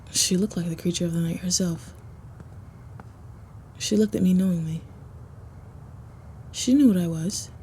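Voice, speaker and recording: A woman voicing a confident, flirty vampire loner in a first-person roleplay audio, measured and self-assured. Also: on the low side, mellow, a little hiss or hum, natural voice. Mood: intrigued.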